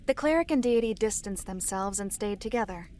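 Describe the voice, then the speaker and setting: A woman playing a solemn storyteller, narrating dark fantasy lore in a formal, measured way. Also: mid-range voice, animated, slight background noise, lightly acted. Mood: grave.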